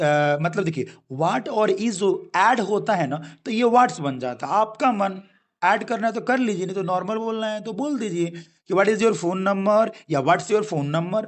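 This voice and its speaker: High-voiced Indian man teaching English. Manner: explains slowly, repeats "okay guys", drawls example sentences. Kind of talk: spoken English lesson.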